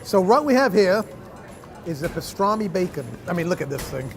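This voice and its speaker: A man's voice: high voice, big pitch swings, moderate pace, music in the background. A man hosting a food show, describing dishes as he tastes them with enthusiasm. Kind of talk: food tasting commentary.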